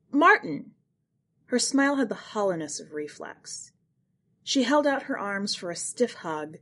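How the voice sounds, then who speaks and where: A woman narrating literary short fiction from a script, with expressive, dramatic phrasing. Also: medium pitch. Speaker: podcast host and fiction narrator.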